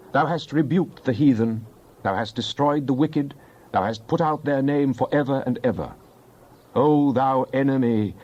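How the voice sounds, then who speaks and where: Devout psalmist, mid-pitched, solemn, declamatory, impassioned scripture drama, slightly muffled recording. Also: male voice, darkish tone. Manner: solemn, declamatory, archaic diction. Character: fervent psalmist pleading with and praising God. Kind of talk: dramatized King James Bible reading.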